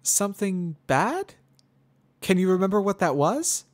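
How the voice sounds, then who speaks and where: A man puts on a young female pony student for a dramatic story. The voice is pitched far up, prim and earnest. She speaks in a questioning way with studied politeness. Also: big pitch swings, measured pace. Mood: prim, probing. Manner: prim, questioning, forced friendliness. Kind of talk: dramatic reading of fan fiction.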